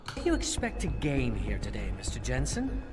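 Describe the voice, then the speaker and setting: A man playing a smooth, patronizing doctor turned politician in a dramatic video game, speaking in measured, condescending rhetoric. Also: mid-range voice, huge pitch swings. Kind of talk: dramatic video game acting, public oratory. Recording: long hall reverb, music underneath.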